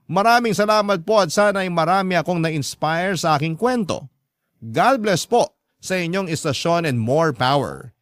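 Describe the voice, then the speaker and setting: Filipino man narrating, slightly high voice. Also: lively intonation, moderate pace, fairly bright tone, no room sound, studio quality. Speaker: radio drama narrator reading listener's letter. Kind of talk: scripted heartfelt first-person narration.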